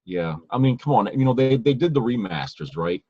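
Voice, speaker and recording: A man's voice: mid-range voice, animated, fairly quick, mellow, no echo. A man co-hosting a podcast, chatting casually in a loose, rambling way, on slightly dull lo-fi audio. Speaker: podcast co-host. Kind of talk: casual chat.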